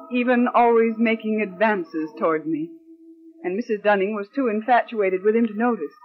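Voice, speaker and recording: An actress playing a young secretary, proper, wary and bitter, in crisp 1940s radio drama narration style. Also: female voice, mid-range voice, natural voice. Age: young adult. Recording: muffled vintage radio recording, organ music.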